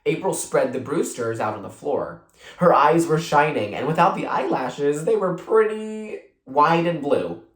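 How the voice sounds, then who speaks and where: Animated storybook narrator in an echoey room. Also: medium pitch, measured pace. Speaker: read-aloud narrator. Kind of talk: expressive children's book narration. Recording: roomy, echoing sound.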